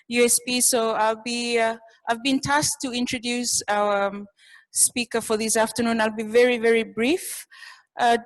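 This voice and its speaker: A woman's voice: on the high side, big-room sound, rough recording. A woman, a communications officer, giving a brief formal introduction of a guest speaker with some hesitant fillers. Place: campus room.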